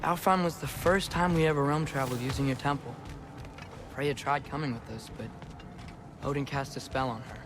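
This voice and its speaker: A man's voice: medium pitch, steady tone, moderate pace, natural voice. An actor playing an earnest, curious teenage boy adventurer in a video game, with casual, modern phrasing. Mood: matter-of-fact. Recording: music under the voice.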